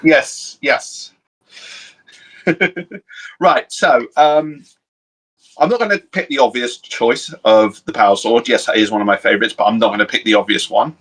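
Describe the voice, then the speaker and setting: A Cornish-accented man co-hosting a relaxed chat over a video call. His voice sits at an ordinary male pitch, and he rambles with hesitant fillers and restarts. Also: moderate pace, long pauses, husky, poor audio. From Cornwall, England. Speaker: co-host. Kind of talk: casual podcast chat.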